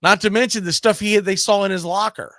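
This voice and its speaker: Bright, high-pitched man arguing sports. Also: fairly even intonation, quick pace, no echo, studio quality. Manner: argumentative, emphatic, rhetorical questions. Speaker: sports talk show host. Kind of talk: casual opinionated sports discussion.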